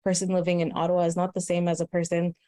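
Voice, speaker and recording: A woman's voice: lowish voice, completely flat delivery, rapid-fire, dark tone, no echo, studio quality. A young Canadian woman hosting a podcast conversation, speaking thoughtfully with many fillers and restarts. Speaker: host. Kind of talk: casual conversation.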